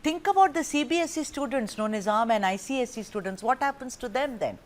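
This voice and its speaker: A woman's voice: fairly high pitch, animated, a little fast. Pressing woman news anchor. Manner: pressing, speaks over guests. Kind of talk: moderating a TV debate.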